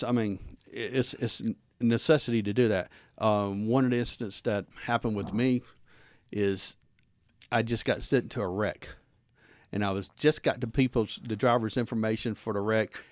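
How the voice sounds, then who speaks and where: A man hosting a conversational interview, talking informally and wordily, with halting repeats as he works through his thoughts. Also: lowish voice, darkish tone, no echo, clean studio sound. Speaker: guest host, former police officer.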